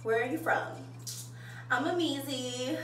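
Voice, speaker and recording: A woman's voice: high voice, unhurried, fairly bright tone, recorded from a distance, slight background noise. A young woman from Maryland chatting candidly while curling her hair for a vlog, laughing often and swearing casually. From Maryland, USA. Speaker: vlogger. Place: at home. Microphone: phone camera. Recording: roomy, phone held away, music behind.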